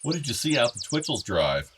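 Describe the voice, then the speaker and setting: A man's voice: mid-range voice, sing-song, brisk, noisy background, natural voice. An actor playing an older, meddling, wistful father in a naturalistic radio play, with bookish, formal phrasing. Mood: genial. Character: older father, wistful, meddling, urging his son to marry.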